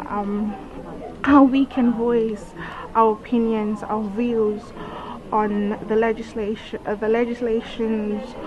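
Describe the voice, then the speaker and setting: A young woman with a Fijian accent answering an interview question in a halting, hesitant way. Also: fairly high pitch. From Fiji. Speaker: workshop participant. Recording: muffled, busy crowd behind.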